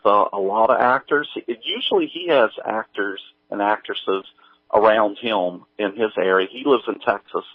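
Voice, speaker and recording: A middle-aged man chatting casually as an interview guest, speaking haltingly with many pauses. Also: medium pitch, no echo, narrow, tinny audio. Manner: halting, hesitant delivery full of pauses. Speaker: guest, audio drama creator.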